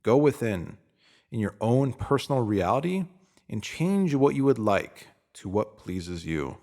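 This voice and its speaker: A man reading a scripted motivational spiritual piece in a deliberate, emphatic manner, pausing to give phrases weight. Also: medium pitch, very animated, dark tone. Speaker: narrator reading a spiritual self-help post.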